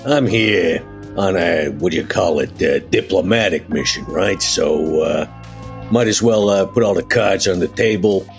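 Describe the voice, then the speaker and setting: A man playing a tabletop roleplaying game, casually describing his character's moves in halting, folksy phrases. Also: low-pitched, faint music behind. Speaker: player in a tabletop RPG.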